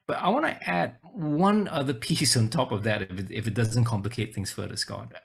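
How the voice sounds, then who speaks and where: A man's voice: mid-range voice, moderate pace, vocal fry, slightly rough. A man from Singapore presenting a webinar over a video call, talking casually and hesitantly, often repeating his words.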